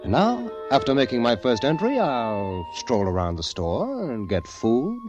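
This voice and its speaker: Theatrical poet with swooping delivery. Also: male voice, medium pitch, huge pitch swings, unhurried. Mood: secretive, pleased. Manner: dramatic, florid, exultant. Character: penniless, dreamy, theatrical poet in hiding. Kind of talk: 1940s radio acting, diary monologue. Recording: dull vintage radio broadcast. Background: prominent music.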